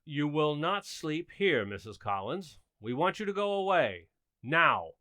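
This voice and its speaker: A man playing an exasperated, flustered but mannerly husband and father in a radio comedy, speaking formally and haltingly. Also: a little high, big pitch swings, pushed and bright, no echo, clean studio sound, heavily acted. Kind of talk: old-time radio comedy acting.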